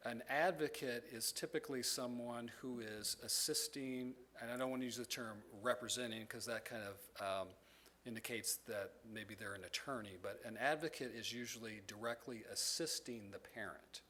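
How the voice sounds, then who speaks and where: Man presenting to an audience, even-pitched, measured and explanatory, some ums, faint noise behind. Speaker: presenter from a state education agency. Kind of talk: presentation answering audience questions.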